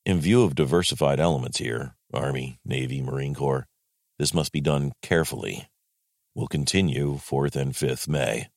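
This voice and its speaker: A man with an American accent reading as a wartime army general, in a clipped, matter-of-fact way with a gravelly voice. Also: extremely low pitch, normal speed, a little mellow, dry recording, studio quality. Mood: terse, composed. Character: male US Army general writing a terse wartime diary. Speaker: actor reading a wartime diary. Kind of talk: dramatic diary reading.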